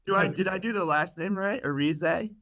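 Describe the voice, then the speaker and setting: A man's voice: high-pitched, normal speed, fairly bright tone, dry recording, clean studio sound. A man hosting a podcast casually, joking with his guests and using lots of fillers. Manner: joking, filler-heavy, upbeat. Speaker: podcast host.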